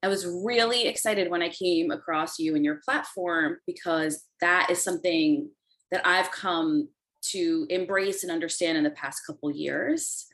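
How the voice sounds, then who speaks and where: Woman podcast host, slightly low, eager, rambling questions. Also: a little slow, a bit bright. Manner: enthusiastic, meandering with restarts. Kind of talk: casual interview chat.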